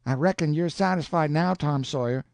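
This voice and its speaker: Man putting on a squeaky stubborn country kid, all worked up, dry mic. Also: moderate pace, vocal fry, a little mellow, no echo. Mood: indignant. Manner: indignant, rustic boyish dialect. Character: boy, stubborn and indignant.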